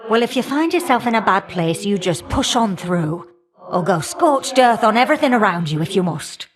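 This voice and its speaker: A woman's voice: mid-range voice, lively intonation, normal speed, over music, natural voice. A woman voicing a feisty, folksy elder goddess of flame in a video game, sassy and affectionately teasing. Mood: bracing, brisk. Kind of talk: video game character dialogue.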